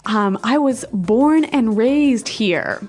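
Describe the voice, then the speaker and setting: A woman's voice: a little high, lively intonation, slow, a little mellow. A woman co-hosting a language podcast, explaining words simply in a conversational lesson style. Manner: explains simply with repeated key phrases. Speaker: podcast co-host, English teacher.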